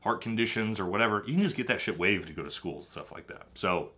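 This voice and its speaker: A man's voice: fairly low pitch, expressive, fast talker, bright, forceful tone. A man giving a blunt, emphatic monologue to viewers, often checking in with "okay?" and "right?". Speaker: commentator on military draft.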